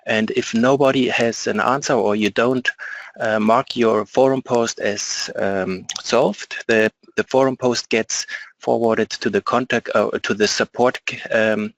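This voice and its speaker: A man's voice: mid-range voice, fairly even intonation, unhurried, poor audio. A man with a German accent, a product support specialist presenting a webinar from an office, speaking hesitantly with many ums and uhs.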